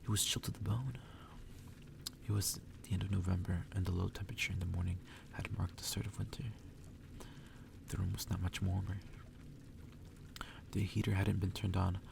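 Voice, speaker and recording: Low, very creaky man reading softly. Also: level tone, normal speed, pauses often, far from the mic, noisy background. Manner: soft-spoken, occasionally whispered, stumbles over the reading. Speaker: ASMR reader. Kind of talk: soft ASMR read-aloud of a story.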